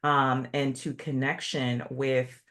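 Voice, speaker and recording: A host speaking in a reflective, affirming way, pausing often with fillers as she builds her points. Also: mid-range voice, flat delivery, fairly bright tone. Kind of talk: conversational interview.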